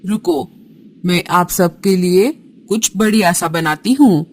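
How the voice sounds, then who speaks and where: A woman voicing an elderly female bird in a cartoon, motherly and unhurried in manner. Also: on the low side, expressive, darkish tone. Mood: gentle, sorrowful. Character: old yellow bird, kindly grandmother turned gloating witch. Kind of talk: Hindi cartoon character voice.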